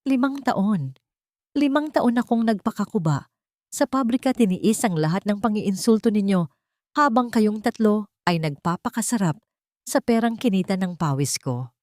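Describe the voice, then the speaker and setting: A woman's voice: mid-range voice, animated, normal speed, darkish tone, no room sound, clean studio sound, pitched above the performer's own voice, close to an everyday voice. Bitter, composed wronged Filipino wife, voiced by a woman. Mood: bitter accusation. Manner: clear, composed, cutting accusation. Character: wronged wife, bitter, confident, calmly cutting.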